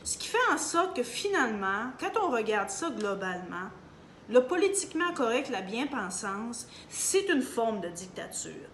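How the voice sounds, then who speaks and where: A woman's voice: fairly high pitch, very animated, normal speed, fairly bright tone. A woman with a Canadian French accent giving an emphatic opinion monologue straight to her viewers, hesitating often with fillers. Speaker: video commentator. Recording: echoey room, faint background hum.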